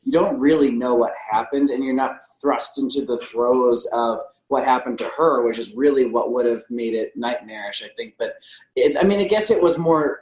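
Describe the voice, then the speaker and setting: A man's voice: medium pitch, darkish tone. A man in a casual film discussion as podcast co-host, talking tentatively and correcting himself as he goes. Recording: roomy, echoey sound.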